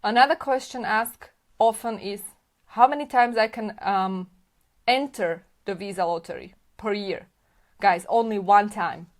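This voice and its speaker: Woman, immigration consultant hosting a live Q&A. Casual, chatty, mid-pitched, lots of fillers and restarts. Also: unhurried. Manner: chatty, informal, with frequent fillers and self-corrections. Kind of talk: live Q&A answering viewer comments.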